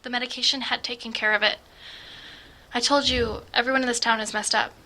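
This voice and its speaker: Tense, bright troubled teenage girl. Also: on the high side, steady tone, poor audio. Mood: guarded, tense. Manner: tense, halting, defensive. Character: troubled, fragile, dramatic teenage girl, guarded and fearful. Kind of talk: audio drama acting.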